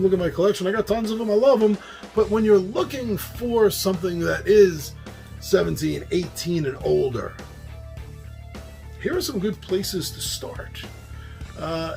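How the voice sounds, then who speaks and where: A man with a New York accent hosting a casual monologue about board games, chatty and quick to laugh at his own slips. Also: a little high, gravelly, a little mellow. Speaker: host.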